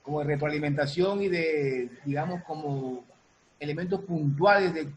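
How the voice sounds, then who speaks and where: Male guest producer, slightly high voice, halting casual explanations, faint background noise. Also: low-quality recording. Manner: halting, repeats and reformulates. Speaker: guest, music producer and sound designer.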